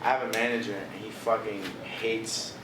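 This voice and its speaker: Young man, low-set voice, relaxed slang-heavy guest, roomy echo with steady background noise. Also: normal speed. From Washington DC area. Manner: laid-back, heavy on "bro" and "you know" fillers. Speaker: visual artist, interview guest. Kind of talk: casual interview chat. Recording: echoey room with noticeable background noise.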